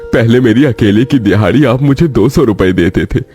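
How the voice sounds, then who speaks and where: A man's voice: mid-range voice, moderate pace, mellow, close to an everyday voice. A man playing a poor, worried older farmer father in a cartoon story, speaking in a plaintive, pleading way. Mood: humble, pleading. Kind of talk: Hindi cartoon story acting.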